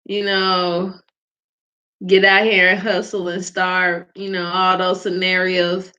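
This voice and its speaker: Woman, soft small voice, chatty and laughing, low-ish pitch, dull lo-fi recording. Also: completely flat delivery, slow pace. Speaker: host of a live faith vlog. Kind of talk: casual spoken reflection. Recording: dull lo-fi sound.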